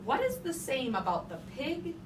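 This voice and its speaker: A woman's voice: sits high, wildly swooping pitch, moderate pace. Distant, echoey woman teacher reading aloud. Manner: questioning, repeats children's answers back. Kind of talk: interactive storybook read-aloud with class questions. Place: classroom with children. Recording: roomy, distant, clearly noisy classroom recording.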